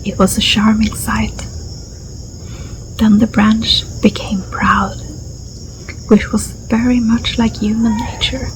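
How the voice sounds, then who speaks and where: Soft, half-whispering Swedish-accented woman, slow. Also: a little high, little pitch movement. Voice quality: soft, breathy, often dropping into a whisper. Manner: gentle, unhurried, soothing. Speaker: ASMR storyteller and host. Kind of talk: soft-spoken ASMR story reading. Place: outdoors in a garden. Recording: clear outdoor ambience with insect noise. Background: crickets and insects, garden ambience.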